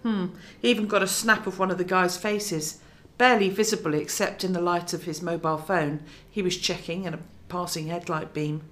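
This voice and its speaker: A woman's voice: fairly low pitch, steady tone, normal speed, fairly bright tone, close to an everyday voice. A woman playing a determined, earnest news reporter in a radio drama, with emphatic delivery. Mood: concerned.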